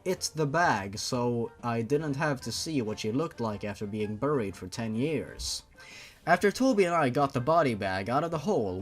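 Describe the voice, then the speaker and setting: A man hosting a comic fan-fiction reading, narrating theatrically with mocking asides. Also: mid-range voice.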